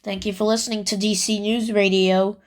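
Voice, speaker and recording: A woman role-playing an emergency broadcast announcer, reading alerts in a halting, stumbling way. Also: medium pitch, flat delivery. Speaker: amateur emergency broadcast announcer in a hobby scenario.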